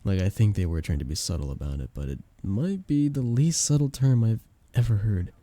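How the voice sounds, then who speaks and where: A young man acting a flustered best friend with a hidden crush in an intimate ASMR romance roleplay, soft-spoken, casual and hesitant. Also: lowish voice, lively intonation, a little fast, no echo. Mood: awkward, evasive.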